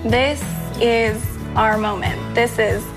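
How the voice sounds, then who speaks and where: High-voiced, unhurried young woman. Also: steady tone. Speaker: singing group contestant. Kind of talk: TV profile interview soundbites. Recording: loud music bed over interview.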